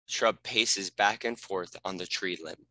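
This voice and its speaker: Male narrator for script directions, deep and crisp-edged, scripted delivery. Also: a little slow, sharp tone, dry recording, poor audio. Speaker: narrator reading stage directions.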